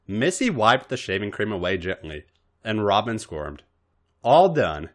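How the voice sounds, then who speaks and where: A man reading scripted fiction aloud as an expressive story narrator. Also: lowish voice, moderate pace. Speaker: podcast story reader.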